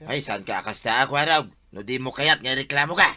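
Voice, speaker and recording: A man's voice: medium pitch, lively intonation, quick pace, bright, forceful tone. An actor playing a cranky, hot-headed man with an Ilocano accent in a radio comedy drama. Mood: defensive.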